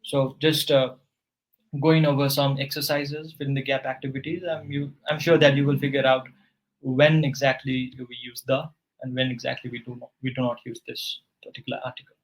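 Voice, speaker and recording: A man's voice: mid-range voice, flat delivery, a little fast, slight background noise, rough recording. A man working as an English tutor, patiently giving corrective grammar feedback in a one-on-one session.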